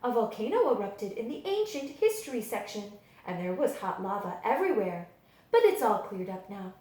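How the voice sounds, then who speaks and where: Lively, kindly storybook librarian played by a woman. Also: medium pitch, normal speed, reverberant, lightly acted, poor audio. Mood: apologetic. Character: kindly adult woman children's librarian, gently in charge.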